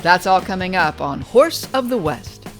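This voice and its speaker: A show host reads polished broadcast narration, even and smooth like an announcer. His pitch sits right in the middle. Soft music plays quietly underneath. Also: measured pace.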